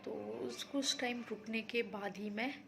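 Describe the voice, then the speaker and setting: A woman vlogging about her day in a casual, rambling way, a little hoarse from being unwell. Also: on the high side, unhurried, background noise. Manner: chatty, rambling, explains herself to viewers. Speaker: vlogger housewife. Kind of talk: casual daily vlog chat.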